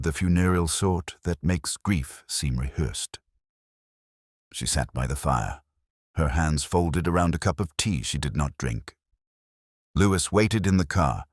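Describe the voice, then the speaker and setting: Very deep, husky brooding detective narrator, played by a man. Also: no room sound, studio quality, full character voice. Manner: literary, measured, brooding. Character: weary, melancholy male English police inspector narrating his case. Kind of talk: scripted first-person mystery narration.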